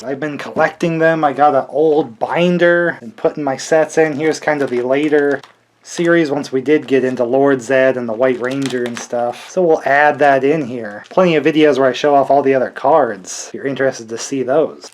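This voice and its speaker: A man roughly in his thirties or forties talks through a collectible unboxing in a casual, chatty way. His pitch sits in the middle for a man. He drops in wry, joking asides as he goes, like a hobbyist showing things to viewers. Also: darkish tone. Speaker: collector hosting an unboxing video.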